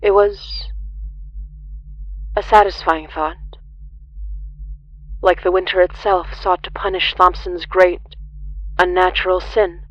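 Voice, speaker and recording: A narrator reading a scripted horror story in a solemn, deliberate way with dramatic pauses. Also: mid-range voice, flat delivery, dull audio. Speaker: narrator of a horror fiction podcast.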